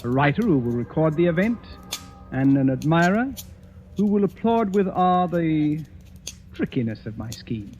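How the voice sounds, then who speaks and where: An actor playing a self-satisfied, theatrical master detective, pompous and deliberate, in old-time radio horror style. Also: male voice, a little high, sing-song, a little mellow. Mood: smug, commanding. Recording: muffled vintage radio recording, faint music.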